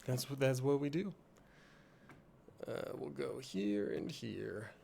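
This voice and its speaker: A man's voice: medium pitch, big pitch swings, takes it slowly, creaky, warm tone, slight background noise. A slightly sniffly man hosting a livestream, chatting casually and rambling in a self-deprecating way. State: slightly sniffly, congested from allergies. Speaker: live streamer and host of a game playthrough.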